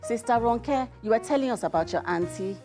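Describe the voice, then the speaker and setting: A woman's voice: fairly high pitch, animated, a little fast, over music, pitched above the performer's own voice. Woman reader as pious hairdresser: clipped, emphatic, deferential yet bossy, for storybook narration. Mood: brisk, eager. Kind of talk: audiobook-style character reading.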